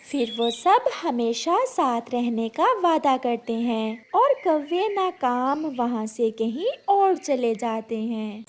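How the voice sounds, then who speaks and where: A woman reading scripted children's animal stories as a narrator in a storybook style. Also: a little high.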